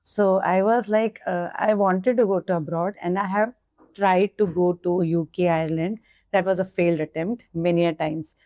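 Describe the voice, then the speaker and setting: A woman's voice: lowish voice, steady tone, normal speed, mellow, no room sound. A young woman with an Indian English accent, a nursing candidate answering podcast questions candidly and slipping into Hindi now and then.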